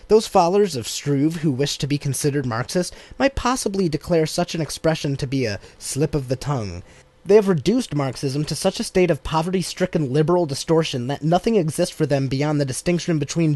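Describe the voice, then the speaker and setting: A man reading a political text aloud as an audiobook, in a measured, deliberate cadence. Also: fairly high pitch, darkish tone, dry recording. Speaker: audiobook reader.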